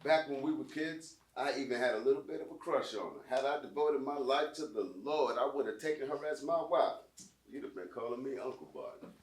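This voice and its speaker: A man's voice: mid-range voice, brisk, lightly acted. A man playing a mild, well-meaning small-town priest, hesitant and consoling, in a live amateur stage comedy. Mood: sympathetic. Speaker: actor in a staged reading of a short play. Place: small college theatre stage. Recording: echoey theatre room.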